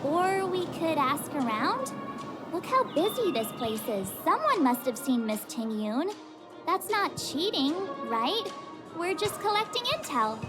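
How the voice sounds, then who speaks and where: A very high, lively female voice plays a spirited teenage girl adventurer in a video game. She is chatty and cheeky, swinging between wry and excitable. Loud music runs behind her. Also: unhurried. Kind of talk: video game cutscene voice acting.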